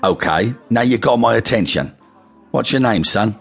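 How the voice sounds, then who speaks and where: A man's voice: lowish voice, animated, normal speed, creaky, slightly rough, faint music behind, voice pushed up, full character voice. A man voicing a cold, probing male gangster with a coarse, swearing manner, in a storybook reading.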